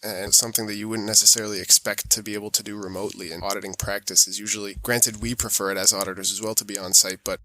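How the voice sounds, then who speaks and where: Man, American accent, even intonation, bright tone, informal conversational answers. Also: lowish voice, fast talker, hardly any pauses, rough recording. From born in New York, raised mostly in Europe. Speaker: podcast guest, sustainability auditor.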